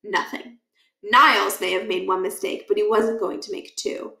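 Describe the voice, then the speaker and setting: A woman reading a children's book aloud as an expressive storyteller, with animated, deliberate emphasis. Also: mid-range voice, creaky. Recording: echoey, roomy sound.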